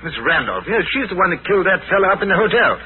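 Dithering British colonel, swooping voice. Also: male voice, sits high, big pitch swings, very fast, a crowd in the background. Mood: vague, dithering. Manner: dithering, casual, repeats himself. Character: blustering, absent-minded British colonial police colonel. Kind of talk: 1940s radio drama acting. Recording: noisy, tinny old radio recording.

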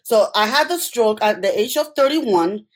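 A woman's voice: mid-range voice, expressive, normal speed. A middle-aged woman with a Puerto Rican accent and Spanish as her first language, telling personal stories as an interview guest and often checking in with "okay?". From Puerto Rico. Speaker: interview guest, healthcare worker.

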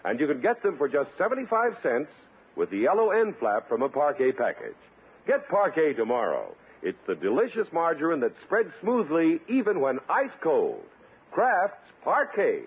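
A man's voice: a little high, huge pitch swings, normal speed, husky. A man announcing on 1950s radio in a scripted, period announcer style. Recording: tinny vintage radio broadcast. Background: loud music.

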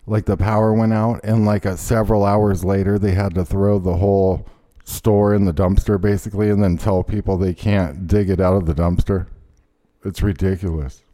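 A man with a low, dark and relaxed voice chats as a podcast host. His talk is loose and informal, peppered with profanity, coming over an internet call. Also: moderate pace. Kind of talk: casual chat. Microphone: remote internet call.

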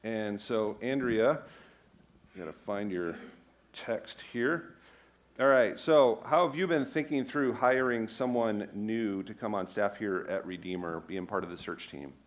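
A man's voice: fairly low pitch, a little slow. Casual self-deprecating male pastor. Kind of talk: church announcements and interview.

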